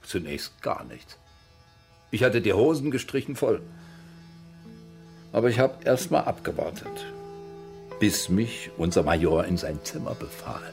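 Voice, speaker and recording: An actor playing a wry former soldier who recounts his past in colloquial German radio drama monologue, with a gravelly, husky voice. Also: lowish voice, expressive, a little slow, long pauses, over music. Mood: tense recollection.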